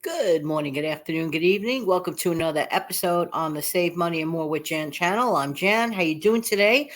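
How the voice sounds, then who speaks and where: A woman's voice: lowish voice, expressive, a little fast. A woman with a slightly nasal voice hosting a casual, chatty vlog, speaking emphatically and repeating phrases for effect. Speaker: channel host.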